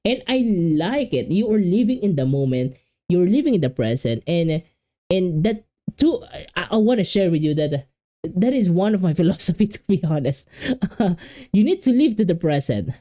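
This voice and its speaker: A man's voice: high-pitched, big pitch swings, normal speed, dark tone. A man from the Philippines with a Filipino accent, whose first language is Filipino, reacting casually on video, rambling and often repeating and restarting words.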